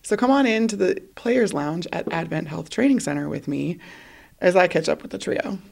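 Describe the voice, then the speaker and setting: A woman's voice: lowish voice, lively intonation, moderate pace, gravelly, darkish tone. A woman hosting a sports podcast, reading a scripted introduction with an upbeat, polished delivery. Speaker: podcast host. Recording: voice-over with music underneath.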